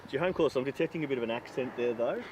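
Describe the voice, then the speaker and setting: A man's voice: medium pitch, very fast, a little mellow. A man from Australia doing an upbeat sideline interview outdoors at a sports event, chatty and full of ums and uhs. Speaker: field interviewer. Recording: outdoor mic with clear background noise.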